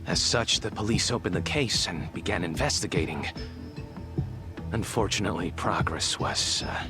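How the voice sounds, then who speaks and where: Low-voiced, courteous, evasive doctor. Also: male voice, lively intonation, normal speed, music in the background. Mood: measured, explanatory. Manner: hesitant, formal, apologetic. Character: evasive middle-aged male doctor, courteous, measured, cornered. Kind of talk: dramatic video game cutscene acting.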